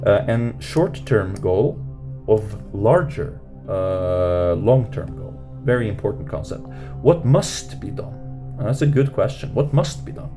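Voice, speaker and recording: A man's voice: animated, slow. Rambling man teaching over guitar music. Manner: rambling, many fillers and restarts, rising pitch on questions. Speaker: meditation teacher. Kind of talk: unscripted teaching talk. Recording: music playing under voice.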